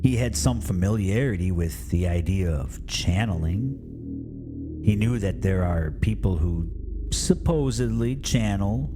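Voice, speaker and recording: A male storyteller narrates a dark tale at a slow, measured pace, with dramatic pauses. His fairly low voice rises and falls expressively over a clear music bed. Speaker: host and storyteller. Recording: music behind the voice.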